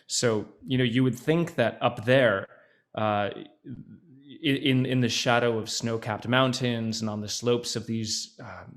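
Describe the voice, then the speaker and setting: A man's voice: medium pitch, steady tone, unhurried. Thoughtful man talking over a video call. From Netherlands, by his mother. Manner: thoughtful, many fillers and restarts. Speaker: actor, podcast guest.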